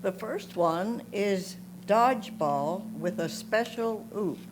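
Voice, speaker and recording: A woman reads photo captions and notes at an unhurried, slow pace. Her voice sits at a middle pitch, with a clear noisy hum behind it. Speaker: reader of photographers' comments. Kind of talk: reading prepared notes aloud. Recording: clear hum and background noise.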